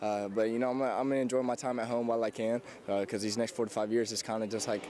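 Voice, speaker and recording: A man's voice: on the low side, little pitch movement, fast talker, warm tone. A young man, a football player talking casually in an outdoor interview. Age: young adult.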